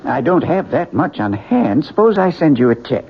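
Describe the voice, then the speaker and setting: An actor playing a kindly, generous older family friend in an old radio drama, gentle, fatherly and courteous. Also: on the high side, sing-song, moderate pace. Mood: gentle, concerned. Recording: muffled old radio recording, faint noise.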